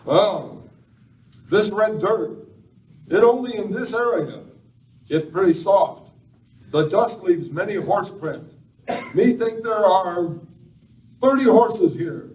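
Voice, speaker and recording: A loyal, stolid tracker acted by a man in a live radio western. He speaks slowly in short broken phrases, with a fairly high voice. The sound is distant and roomy. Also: lively intonation, a little mellow. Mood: observant. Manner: stolid, clipped broken English. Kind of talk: amateur live radio play acting. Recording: roomy, distant live recording, faint noise.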